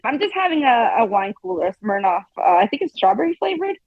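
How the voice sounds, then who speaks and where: A woman's voice: on the high side, very animated, quick pace. A woman in her thirties, a guest teacher, talking casually and laughing often on a podcast. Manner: chatty, laughs often, says "like" a lot. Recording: dull lo-fi sound.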